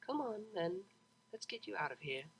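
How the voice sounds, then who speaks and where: A man voicing a refined, serene young female pony cellist, speaking gently and with composure in an audiobook. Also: high-pitched, very animated, moderate pace, gravelly, a little hiss or hum, pitched above the performer's own voice, full character voice. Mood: serene, coaxing.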